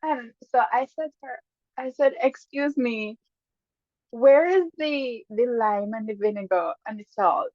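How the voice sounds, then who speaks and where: A woman with a Guyanese accent, a guest casually telling stories with lively bursts and frequent laughs. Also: a little high, dry recording. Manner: lively, laughs often, quick bursts when excited. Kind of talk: casual storytelling chat. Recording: dull lo-fi dry sound.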